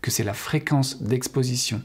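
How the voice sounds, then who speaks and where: A middle-aged French man talks straight to his viewers in an earnest, encouraging way, often stopping on fillers and restarting his sentences. His voice sits at an ordinary pitch, with a gravelly, husky grain to it. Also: moderate pace. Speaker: English teacher. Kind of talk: casual spoken lesson to camera.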